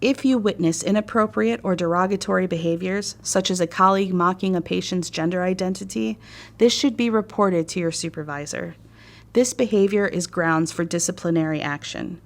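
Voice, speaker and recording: Lower-pitched American woman narrating training. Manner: measured, formal, instructive. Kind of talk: scripted instructional narration.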